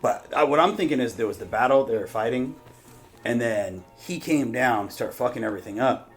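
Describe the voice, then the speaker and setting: A man's voice: mid-range voice, brisk, soft background music. A man hosting a casual reaction discussion, thinking aloud in a rambling, informal, sweary way. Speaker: reaction show host.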